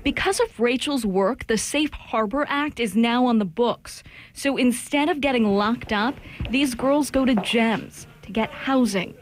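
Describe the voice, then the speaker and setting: A woman reporter narrating a news package in a deliberate, scripted voice-over. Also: fairly high pitch. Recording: voice-over over loud music.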